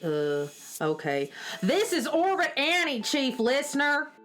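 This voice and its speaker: A woman's voice: high voice, big pitch swings, takes it slowly, exaggerated voice. A woman playing a folksy, easygoing space wrangler in a children's radio drama. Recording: music behind the voice.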